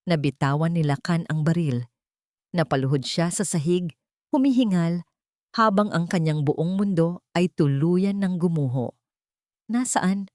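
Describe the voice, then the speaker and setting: A woman narrates a dramatic story in a fairly low register. She reads scripted first-person prose as a storyteller, and the sound is very dry, with hardly any room around it. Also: moderate pace, darkish tone, dry recording.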